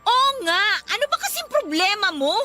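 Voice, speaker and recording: A woman's voice: very high-pitched, sing-song, normal speed. A woman playing an irritated, defiant young girlfriend in a radio drama, with a snappy, exasperated manner. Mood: defensive, annoyed. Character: irritated, defiant young woman fed up with her clingy boyfriend. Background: music.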